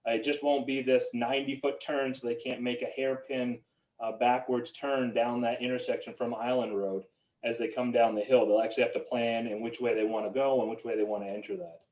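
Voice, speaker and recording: A man explaining a road design to a town meeting in a measured way, pausing on frequent ums. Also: mid-range voice, little pitch movement, a little mellow, highs cut off. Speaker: project engineer. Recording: roomy, distant sound.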